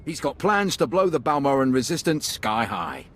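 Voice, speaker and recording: An animated, blunt military commander. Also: male voice, on the high side, moderate pace. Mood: confident, persuasive. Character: tough, confident male Imperial special-forces commander, gruffly determined. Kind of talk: video game voice acting.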